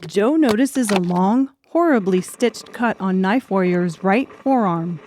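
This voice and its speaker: A woman's voice: mid-range voice, lively intonation, a little slow, darkish tone, slight background noise. A woman reading scripted third-person narration for an audiobook story.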